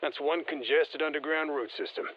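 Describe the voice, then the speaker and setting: Folksy soldier over muffled radio. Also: male voice, on the high side, moderate pace, no echo. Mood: steady, matter-of-fact. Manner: colloquial, clipped tactical commands. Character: gruff, folksy male soldier, confident squad operative. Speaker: video game character voice actor. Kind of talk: scripted game voicelines, mission briefing.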